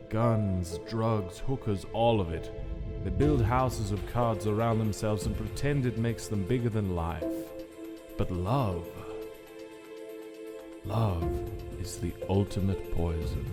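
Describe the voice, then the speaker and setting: A man voicing a smug, cynical cartoon casino boss with a bitterness underneath, in a sly, drawling, theatrical manner. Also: fairly low pitch. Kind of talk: scripted comic dub character acting. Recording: music under the voice.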